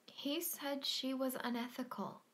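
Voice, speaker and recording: An actress playing a guarded, probing woman in a stage drama, with measured delivery. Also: sits high, fairly even intonation, fairly bright tone. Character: guarded, probing woman hiding a dark secret.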